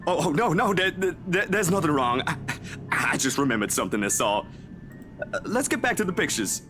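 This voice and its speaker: A man's voice: a little high, sing-song, moderate pace, over music. An actor playing an easygoing, modest young male photographer in a visual novel game. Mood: flustered, reassuring.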